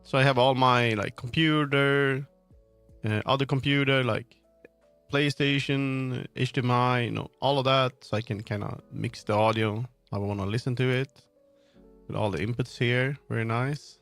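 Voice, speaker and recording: A man's voice: mid-range voice, fairly even intonation, moderate pace, a bit bright, clear, resonant tone. A man livestreaming, chatting casually and informally about tech gear, with frequent swearing. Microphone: streaming microphone on a sound card. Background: music.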